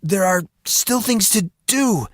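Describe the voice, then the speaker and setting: A man's voice: sits high, expressive, slow pace, no echo, clean studio sound, voice pushed up. A man voicing an angry, defiant teenage boy hero in a fantasy audiobook. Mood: strained, defiant.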